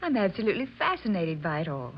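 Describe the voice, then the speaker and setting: An actress playing an eager, wide-eyed and polite newcomer to séances, in an old-time radio drama style. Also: female voice, on the low side, big pitch swings, quick pace, breathy edge, exaggerated voice. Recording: muffled, noisy old radio recording.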